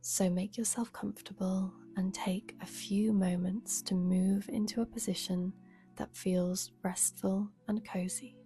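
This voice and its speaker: A woman guiding a meditation as a yoga nidra teacher, speaking softly and soothingly with a breathy, unhurried delivery. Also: mid-range voice, fairly even intonation, soft background music. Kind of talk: guided meditation.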